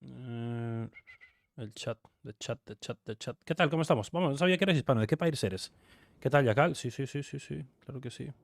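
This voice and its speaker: A man's voice: mid-range voice, expressive, moderate pace, vocal fry. A Colombian man streaming a tank game live, chatting with his viewers and muttering game noises between remarks. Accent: Colombian Spanish. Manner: mutters game noises and chats with viewers, mixing English and Spanish. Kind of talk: live gameplay commentary.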